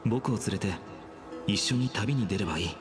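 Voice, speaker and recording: A solemn young hero played by a man speaks of destiny in a dramatic game style. The voice is low and soft, trailing into breath, yet moves briskly over prominent music. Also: natural voice. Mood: earnest, gentle. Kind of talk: dramatic Japanese game voice acting. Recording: game music under the dialogue.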